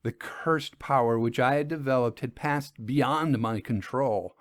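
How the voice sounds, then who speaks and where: A man's voice: medium pitch, a little slow, dry recording, clean studio sound. A middle-aged man giving a dramatic reading of a gothic first-person tale, grave and sorrowful, his voice rising in anguish at its peaks. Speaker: narrator.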